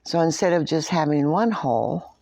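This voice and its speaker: A woman's voice: deep voice, animated, moderate pace, gravelly, darkish tone, dry recording. A woman children's librarian talking at storytime, explaining things simply for young children. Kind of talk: children's storytime craft talk.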